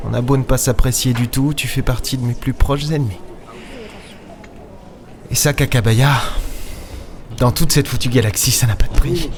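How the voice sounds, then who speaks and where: A man playing a weary, sardonic space captain in an audio drama, speaking in a measured, wry storytelling way. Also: medium pitch, background noise, natural voice. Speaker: lead character and narrator. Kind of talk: audio drama acting and first-person narration. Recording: music behind the voice. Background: music.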